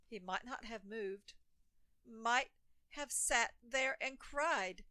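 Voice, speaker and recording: A woman's voice: on the high side, slow pace, pushed and bright, no echo. A woman reading a rhyming children's picture book aloud in a theatrical, emphatic storytelling manner.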